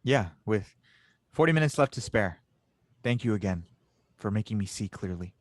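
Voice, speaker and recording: A man's voice: fairly low pitch, animated, moderate pace, no room sound, close to an everyday voice. A man playing a determined, earnest young engineer hero in an audio drama read. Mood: focused.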